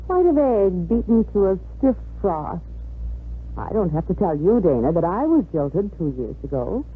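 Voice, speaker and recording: An actress playing a worldly, self-satisfied middle-aged mother in a 1950s radio drama, brisk and theatrical in manner. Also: on the low side, big pitch swings, slightly rough, narrow, tinny audio, full character voice. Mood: brisk, dismissive. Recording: thin 1950s radio recording.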